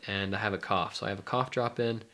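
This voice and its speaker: A man's voice: on the low side, steady tone, fast talker, sharp tone. A young man co-hosting a casual talk podcast, congested and a little nasal from a cold. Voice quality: nasal, stuffy. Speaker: podcast co-host, mindfulness life coach. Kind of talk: casual chat.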